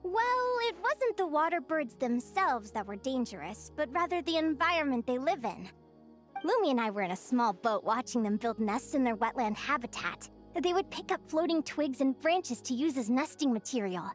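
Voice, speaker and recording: Cheerful young naturalist for a game: high-pitched, very lively intonation, earnest and chatty. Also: female voice, moderate pace. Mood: animated storytelling. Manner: expressive, earnest, chatty, with small hesitations. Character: cheerful young female naturalist adventurer, eager storyteller. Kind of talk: animated video game character dialogue. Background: background music.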